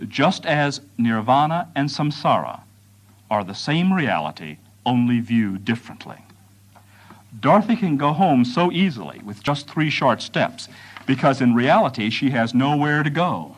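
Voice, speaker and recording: A man gives a prepared public lecture in a medium-pitched voice. His intonation rises and falls widely as he moves through measured, deliberate phrases. He sets off key words with emphatic pauses. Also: sing-song.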